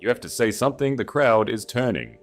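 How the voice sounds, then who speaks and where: A man's voice: medium pitch, expressive, brisk, faint music behind, voice pushed up, natural voice. Loyal, rattled lordly best man acted by a man, shaky and pressing, for a period romance audiobook. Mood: anxious, pressing. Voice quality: trembling voice. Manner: urgent, hushed, agitated. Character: anxious, loyal best man, a nervous young lord.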